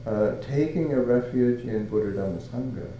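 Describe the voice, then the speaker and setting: An older man from Canada giving a Buddhist talk as a monk, halting and searching for words with many fillers and soft chuckles. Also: medium pitch, heavy reverb, muffled recording, slight background noise. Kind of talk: dharma talk.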